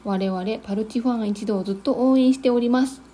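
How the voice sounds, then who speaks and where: A woman's voice: fairly high pitch, fairly quick, mellow, a little hiss or hum. A woman co-hosting a casual chat, polite and agreeable in the way she talks and reads. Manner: agreeable, frequent short acknowledgements, polite. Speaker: co-host, amateur theatre member. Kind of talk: casual chat and listener-letter reading.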